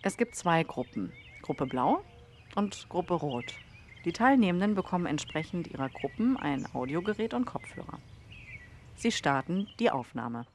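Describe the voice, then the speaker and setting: A woman's voice: lowish voice, sing-song, normal speed, slight background noise. A woman narrating a documentary podcast from a script.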